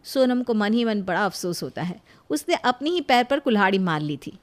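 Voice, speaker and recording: A woman's voice: mid-range voice, very animated, moderate pace. A woman reading scripted narration for a moral family story.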